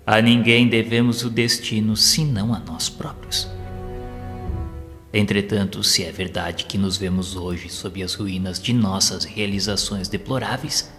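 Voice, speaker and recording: Deep-voiced man narrating in long reverb. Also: a bit bright. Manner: measured, formal, sermon-like oratory. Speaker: audiobook narrator. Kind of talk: solemn scripted narration of a spiritist audio novel. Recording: long reverb with music underneath. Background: music.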